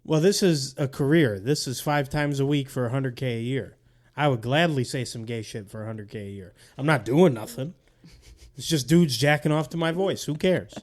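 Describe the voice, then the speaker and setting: A man's voice: mid-range voice, moderate pace, a little mellow. A man co-hosting a casual podcast, talking in a dry, laid-back way. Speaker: podcast co-host. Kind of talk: casual banter.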